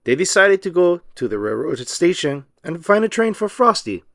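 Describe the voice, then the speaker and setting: A man reads a children's story with very animated, swooping intonation. His pitch sits a little high and his storytelling is expressive and emphatic. The sound is dry and close. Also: moderate pace, gravelly, dry recording. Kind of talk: scripted children's storybook read-aloud.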